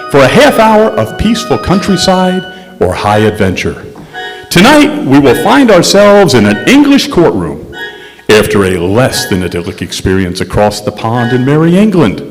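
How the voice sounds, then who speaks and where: Old time radio announcer guy, very animated, echoey hall, bit of music behind. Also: medium pitch.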